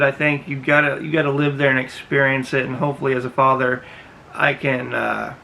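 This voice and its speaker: A man's voice: medium pitch, creaky, pushed and bright, slight background noise, poor audio. A man in his thirties, host of a reaction video, giving earnest and emphatic casual commentary in a small room. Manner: earnest, emphatic, repeats himself.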